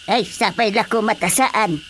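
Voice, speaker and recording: A woman's voice: mid-range voice, a little slow, a bit bright, natural voice. An actress playing a worried village woman in a radio drama. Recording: radio drama with faint noise.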